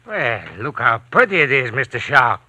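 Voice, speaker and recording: Sly mechanic crook, piercingly bright voice. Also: male voice, mid-range voice, big pitch swings, normal speed, slight background noise. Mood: sardonic. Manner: slangy, taunting. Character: wisecracking old-hand criminal mechanic, sly and needling. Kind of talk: 1940s radio acting.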